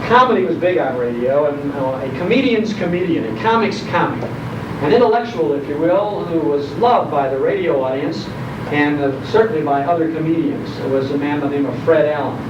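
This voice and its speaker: A middle-aged or older man giving a nostalgic, opinionated, anecdotal talk to a live audience. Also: a little high. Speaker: guest speaker on radio history. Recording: echoey, distant, dull, noisy hall recording.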